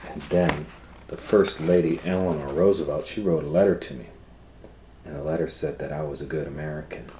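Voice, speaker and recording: A man reading a children's book aloud as a storytime educator, in simple, deliberate phrases with explanatory asides. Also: a bass voice, steady tone, noisy background.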